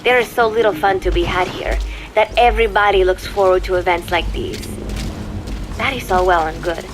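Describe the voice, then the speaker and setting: A woman playing a young spy who records a wistful, torn diary in measured, formal, reflective words, as a scripted game monologue. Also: on the low side, natural voice. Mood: gently hopeful. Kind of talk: scripted dramatic monologue on tape. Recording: music behind the voice.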